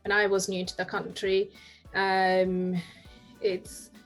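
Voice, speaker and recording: A woman's voice: medium pitch, little pitch movement, slow pace, gravelly, faint music behind, rough recording. A woman in her thirties, a podcast guest on a video call, talking earnestly and often restarting as she searches for words. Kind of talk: casual video-call interview. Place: home room.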